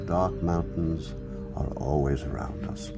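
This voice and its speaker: An older man playing a gentle, solemn grandfather who tenderly tells his grandson a story in a dramatic storybook read-aloud. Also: rumbling low, fairly even intonation, takes it slowly, full character voice. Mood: gentle, grave. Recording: music under the voice.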